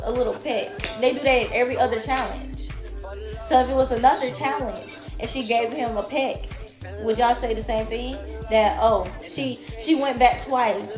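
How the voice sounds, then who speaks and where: A woman who is a little sick and sounds raspy, giving blunt, emphatic opinions about a reality show straight to her viewers. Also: fairly high pitch. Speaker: reality TV commentator. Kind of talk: opinionated vlog commentary. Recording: echoey room with loud music.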